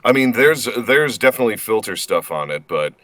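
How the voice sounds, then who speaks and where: A man's voice: fairly low pitch, very animated, fairly quick, sharp tone. An American man from Indiana, a voice actor chatting casually as a guest in a self-deprecating, slightly hesitant way. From Indiana, United States. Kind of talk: casual chat.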